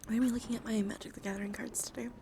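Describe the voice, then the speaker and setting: A woman streaming ASMR in a soft, breathy whisper, speaking unhurriedly and intimately close to the microphone. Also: on the high side, fairly even intonation, racing. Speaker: livestream host. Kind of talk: whispered ASMR livestream talk. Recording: close whisper with clear background noise.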